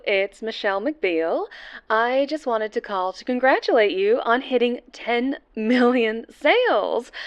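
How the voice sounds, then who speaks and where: A woman's voice: on the high side, highs cut off. A woman playing a cheerful, polished interview producer in an audio roleplay, bubbly and reassuring. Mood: upbeat, congratulatory.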